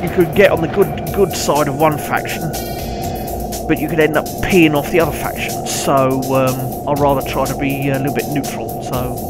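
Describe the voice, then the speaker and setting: A man with a British accent giving casual, chatty, halting gameplay commentary as he goes. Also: a little high, flat delivery. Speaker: gaming video host. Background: loud game music.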